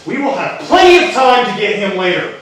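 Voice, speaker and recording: An actor playing a humble but rebellious colonial American farmer in a live stage play, with theatrical, exclamatory delivery. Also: male voice, sits high, very animated, a bit bright, a little hiss or hum. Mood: proud, indignant. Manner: theatrical, exclamatory, drawn-out emphasis. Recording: echoey hall, distant microphone.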